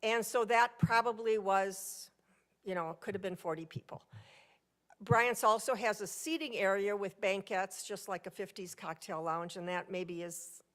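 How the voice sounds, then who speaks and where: A woman speaking at a podium microphone in council chambers, telling a personal story during public comment in a loud, chatty voice. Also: mid-range voice, unhurried. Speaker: resident speaking at public hearing.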